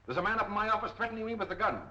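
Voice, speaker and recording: Imperious boss, sharp high voice, commanding, flustered, 1930s film, muffled. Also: male voice, lively intonation, rapid-fire, heavily acted. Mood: urgent. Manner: commanding, forceful. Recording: muffled, lightly noisy 1930s film soundtrack.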